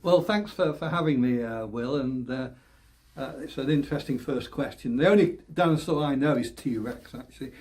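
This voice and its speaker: Older man, candidate in an interview, mellow voice, halting, repeats words, little laughs. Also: mid-range voice. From England, born Leeds, raised Oldham. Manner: hesitant, many repeated words and restarts, with small laughs. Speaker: election candidate. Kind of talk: interview answers.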